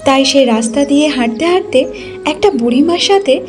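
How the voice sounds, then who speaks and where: Woman, high voice, scripted cartoon story narration, clear music behind. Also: lively intonation, fast.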